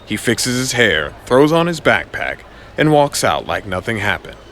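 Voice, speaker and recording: A man's voice: medium pitch, sing-song, moderate pace, fairly bright tone, slight background noise. A man narrating a scripted audio comic story in a dramatic storytelling style.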